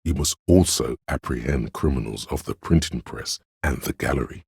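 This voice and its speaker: A man playing a cerebral, pretentious inner voice of artistic imagination in a game, narrating theatrically and deliberately in a gravelly, husky voice. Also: very deep voice, a little mellow, no room sound, clean studio sound, heavily acted. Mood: grand, exhorting. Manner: pompous, theatrical, deliberate diction. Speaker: game voice actor. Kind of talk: dramatic scripted game narration.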